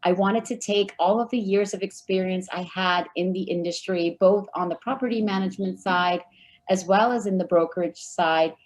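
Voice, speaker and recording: A woman giving friendly, measured answers as a guest in an interview. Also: medium pitch. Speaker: guest, recruiting firm founder.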